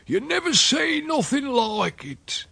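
A man voicing a wicked, droll old country uncle with a rural English accent, gruff and fruity, spinning tall tales in a storybook reading. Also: extremely high pitch, big pitch swings, sharp tone, no room sound, pitched above the performer's own voice, heavily acted. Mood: boastful. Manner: sly, drawn-out dialect storytelling.

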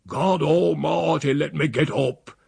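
Hoarse, bawling old country rascal. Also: male voice, fairly high pitch, steady tone, takes it slowly, darkish tone, no echo, voice pushed up, full character voice. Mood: panicked, bawling. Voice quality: thick voice, strained and husky. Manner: rustic dialect, blaspheming, bawling. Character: devilish, bawling, drunken old country uncle Silas.

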